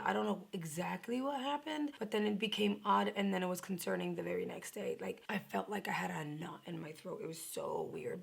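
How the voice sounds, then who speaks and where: A woman in her thirties chatting casually through a makeup unboxing, her voice raspy from a sore throat, sometimes dropping into whispered asides. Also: mid-range voice. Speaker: beauty vlogger.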